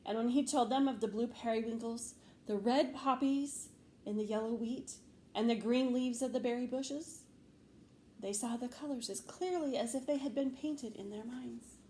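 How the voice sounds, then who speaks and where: A woman reading a children's story aloud expressively, with measured phrasing and deliberate pauses. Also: a little high, darkish tone. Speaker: storybook reader, teacher. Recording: faint background noise.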